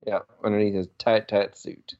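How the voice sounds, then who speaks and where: A man's voice: on the low side, flat delivery, moderate pace, darkish tone. A man co-hosting a podcast, explaining things casually and a little hesitantly on a slightly dull recording. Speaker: podcast co-host. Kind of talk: casual chat.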